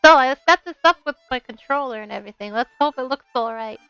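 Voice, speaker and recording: A woman's voice: sits high, quick pace, fairly bright tone, smooth, no room sound, lo-fi sound. A woman with a bubbly, playful manner, talking as a game streamer on a livestream. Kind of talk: casual livestream chat with read-aloud game narration. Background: game music.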